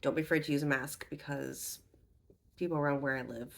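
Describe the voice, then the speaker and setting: A woman's voice: sits low, steady tone, fairly quick, vocal fry. A young woman vlogging about makeup, her voice a bit off as she gets over an illness, chatty and rambling with lots of ums and self-corrections. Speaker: beauty vlogger. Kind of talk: casual haul and review chat.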